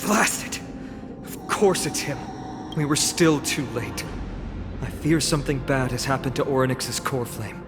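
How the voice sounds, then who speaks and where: A man plays a grave young warrior leader from a fantasy game. His mid-pitched voice is formal and commanding, ringing with long reverb while music plays clearly behind. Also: unhurried, lightly acted. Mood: frustrated, grave. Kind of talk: video game voice acting.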